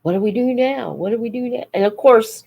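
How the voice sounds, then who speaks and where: An American woman in her seventies reminiscing informally as host of a live stream, halting and reflective, pausing mid-sentence and voicing remembered dialogue. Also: a little high, creaky, dark tone, slightly rough. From United States. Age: 70s.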